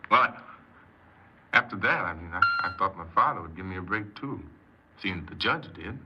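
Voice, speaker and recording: An actor playing a troubled, defensive criminal confessing in a 1950s radio crime drama, speaking earnestly and haltingly as a proud hypnoanalyst. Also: male voice, low-pitched, lively intonation, bright, forceful tone, full character voice. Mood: regretful. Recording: very noisy, muffled 1950s radio recording.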